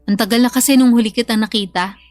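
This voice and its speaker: Cold, curt mother, fairly high voice woman acting. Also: fairly even intonation, quick pace, a little mellow, soft background music, natural voice. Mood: blunt, demanding. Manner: curt, dismissive. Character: cold, blunt, demanding estranged mother, middle-aged. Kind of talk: radio drama acting.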